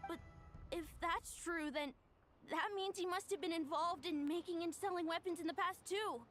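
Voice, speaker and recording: An actress voicing a worried young girl in a game, speaking hesitantly in halting bits, over game music. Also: very high-pitched, a bit bright.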